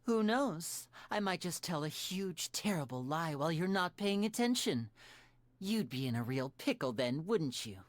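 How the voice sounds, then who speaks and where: A woman's voice: fairly low pitch, animated, moderate pace, lightly acted. A woman voicing a charming, teasing young male stage magician in a video game, with a theatrical, playful manner. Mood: playful showmanship. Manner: theatrical, playful, smooth-talking. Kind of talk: scripted video game voice acting.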